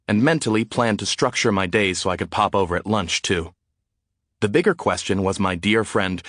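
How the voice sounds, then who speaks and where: Lowish male audiobook narrator, very dry. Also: fairly bright tone, dry recording. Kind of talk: first-person scripted fiction narration.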